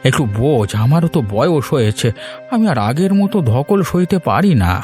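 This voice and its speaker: A man's voice: on the high side, big pitch swings, normal speed, darkish tone, soft background music. A man voicing a weary, pleading old village fisherman in a cartoon moral story. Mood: pleading, reluctant. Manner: pleading, reluctant.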